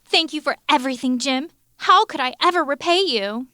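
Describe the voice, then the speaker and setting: A woman's voice: high voice, animated, normal speed, bright, forceful tone, no echo, soft background music. A woman playing a scheming, plaintive health-food shopkeeper in a melodramatic comedy drama. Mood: grateful.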